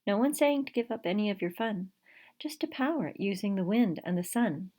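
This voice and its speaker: A woman's voice: medium pitch, expressive, fairly quick, warm tone, natural voice. A woman voicing an eager, curious young girl scientist in a picture book, earnest and inquisitive. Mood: earnest, persuading.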